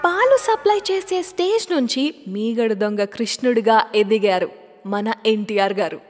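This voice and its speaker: A woman's voice: a little high, lively intonation, moderate pace, darkish tone, soft background music. A woman hosting a radio show of classic film songs in a chatty way, mixing in English words. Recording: long hall-like reverb.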